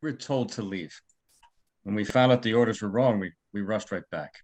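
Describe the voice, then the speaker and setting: Actor as male security guard, lower pitch, guarded, ominous, cautionary, drama table read. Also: normal speed, a little mellow, close to an everyday voice. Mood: defensive. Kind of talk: screenplay table read acting.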